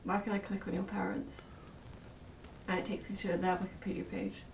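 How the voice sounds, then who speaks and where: A woman's voice: medium pitch, level tone, moderate pace, vocal fry, noisy background. A woman asking needling, probing questions as an off-camera interviewer. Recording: distant off-mic voice in an echoey room with music.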